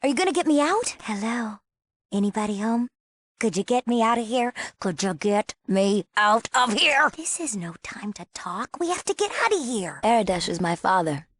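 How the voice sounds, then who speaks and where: A woman's voice: on the high side, very animated, a little fast, slightly rough, no room sound. Sassy young American small-town woman. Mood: impatient, sarcastic, then matter-of-fact. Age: young adult. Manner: casual, sardonic, girlish. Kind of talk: video game character acting.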